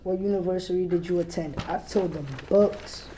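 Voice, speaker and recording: A woman's voice: lowish voice, steady tone, normal speed, background noise. A young teenage girl, a middle school student, reading a text aloud as a dramatic presentation. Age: young teen, middle school student. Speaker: student reader.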